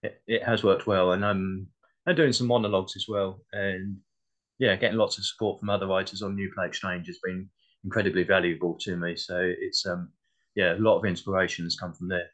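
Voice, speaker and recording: Low-voiced man chatting as interview guest. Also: flat delivery, brisk, fairly bright tone. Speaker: interview guest, playwright and actor. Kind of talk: casual interview chat.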